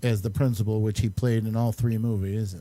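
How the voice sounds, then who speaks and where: Man with mellow voice, rambling casually. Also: lowish voice, level tone, brisk, dry recording. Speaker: host and amateur filmmaker. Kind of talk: casual chat and movie reviews.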